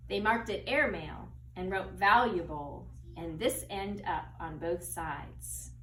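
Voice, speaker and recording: A woman's voice: fairly low pitch, takes it slowly. A woman schoolteacher reading a children's story expressively and explaining things to young pupils as she goes. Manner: expressive, teacherly, explains words. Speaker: second grade teacher. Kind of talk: read-aloud of a children's story with asides to pupils. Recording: roomy echo, distant mic, faint noise.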